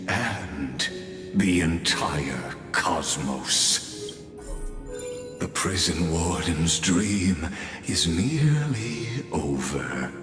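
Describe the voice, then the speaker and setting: Ominous game mastermind, extremely low voice, very slow ceremonious oratory, theatrical, cold, hall reverb. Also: male voice, full character voice. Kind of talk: dramatic video game voice acting. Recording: echoey hall reverb under music.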